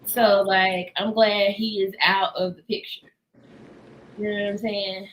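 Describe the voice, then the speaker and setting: A woman's voice: mid-range voice, flat delivery, a little slow, poor audio. An American woman co-hosting a book discussion in casual conversation, with informal, slangy phrasing. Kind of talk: casual chat. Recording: noticeable background noise.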